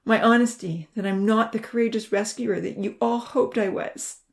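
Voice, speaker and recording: A woman voicing a young burned man who is anguished and crying, his breathy whisper breaking into sobs. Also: on the high side, lively intonation, unhurried, mellow, pitched above the performer's own voice. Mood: choked.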